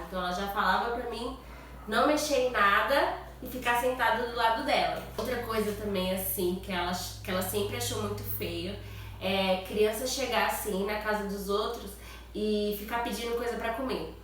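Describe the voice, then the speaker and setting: A woman's voice: fairly high pitch, a bit bright, a little hiss or hum. A Brazilian woman vlogger with a nasal, stuffy voice from a cold, chatting casually to her viewers and often adding little tag questions. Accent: Brazilian Portuguese. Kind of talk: casual chat to camera. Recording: very echoey room, far from mic.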